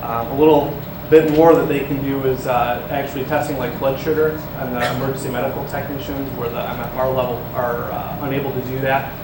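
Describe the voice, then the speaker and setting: A man's voice: medium pitch, level tone, a little fast, few pauses. A man, an ambulance company manager, answering questions at a city meeting, explaining plainly with many fillers. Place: city council chamber. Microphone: distant room microphone. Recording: echoey hall, distant mic, hum.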